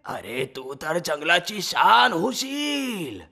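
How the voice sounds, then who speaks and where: A man voicing a cunning fox minister in a children's animal story, raspy and creaky, with a wheedling, flattering manner. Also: high voice, extremely slow, full character voice. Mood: coaxing, falsely friendly. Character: cunning sweet-talking fox, minister to a lion.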